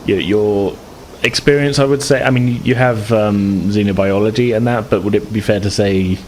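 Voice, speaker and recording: A man's voice: lowish voice, fairly even intonation, moderate pace, poor audio. A man acting as game master, narrating a tabletop game casually and thinking aloud in loose, hesitant phrases. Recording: clear background noise, room ambience.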